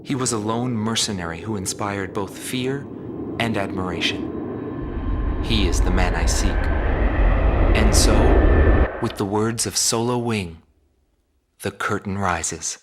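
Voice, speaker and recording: A man playing a serious, inquisitive journalist who narrates a war investigation in a measured documentary style for a video game. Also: lowish voice, very noisy, lightly acted. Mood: serious, intrigued. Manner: measured, deliberate. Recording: loud music under the voice. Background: loud music.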